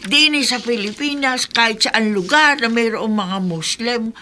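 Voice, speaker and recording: A woman's voice: on the high side, unhurried, pushed and bright, slight background noise. An actress playing a gossipy, prejudiced older neighbour woman in a radio drama, with a Filipino accent and a chatty, exclamatory manner. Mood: disapproving.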